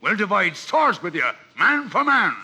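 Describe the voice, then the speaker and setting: An actor playing a sly, blustering one-legged captain in an audio drama, with a raspy, gravelly voice. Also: male voice, sits high, sing-song, measured pace, sharp tone, full character voice. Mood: sly, bargaining. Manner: drawling, blustering, wheedling. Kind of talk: audio drama acting on a record.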